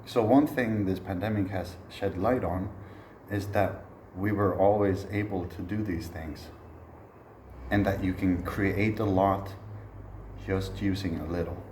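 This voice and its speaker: Deep-voiced man teaching a relaxed masterclass. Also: echoey room, a little hiss or hum. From Sweden. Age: 30s. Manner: relaxed, reflective, frequent "uh" pauses. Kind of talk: informal spoken lecture.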